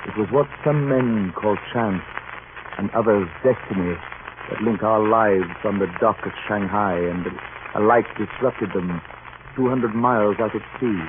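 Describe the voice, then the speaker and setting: A solemn, weary sea captain played by a male actor speaks in a low, measured voice, as in a 1940s radio play. The sound is narrow and thick with old broadcast noise. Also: lightly acted. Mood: solemn, reflective. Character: grave, weary male sea captain narrating his past. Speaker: radio drama actor and narrator. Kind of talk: 1940s radio drama acting.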